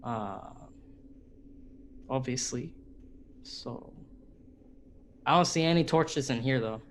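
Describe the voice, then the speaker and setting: A man's voice: mid-range voice, slow pace. A man playing a tabletop roleplaying game, talking casually as he explores the scene. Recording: faint background noise.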